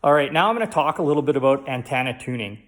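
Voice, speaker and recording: A man with an average-pitched voice talks through a hands-on installation for a vlog. His delivery is casual and informal, like showing someone around a project, with conversational asides along the way. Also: animated, very fast. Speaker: vlogger giving a DIY install walkthrough.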